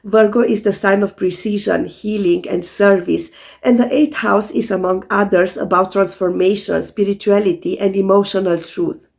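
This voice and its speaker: A hoarse woman, an astrologer, calmly explaining in scripted video narration while recovering from a sore throat. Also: medium pitch, normal speed. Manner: calm, methodical explaining to viewers.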